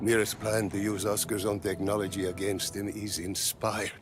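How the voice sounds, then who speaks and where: A man's voice: on the low side, flat delivery, normal speed, over music, close to an everyday voice. An actor playing an earnest older male scientist and mentor in a video game cutscene. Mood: warm, regretful.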